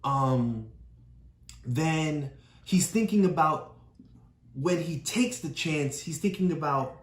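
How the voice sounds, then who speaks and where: A woman's voice: deep voice, expressive, slow, slight background noise. A woman talking through a picture book with a child in a patient, explaining way, often repeating key phrases. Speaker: parent reading with a child. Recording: echoey room.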